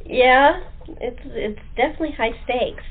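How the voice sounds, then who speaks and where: High-voiced woman hosting over the phone. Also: measured pace, husky. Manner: chuckles often while talking. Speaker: host interviewing a radio play cast. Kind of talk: casual chat. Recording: tinny phone-line sound.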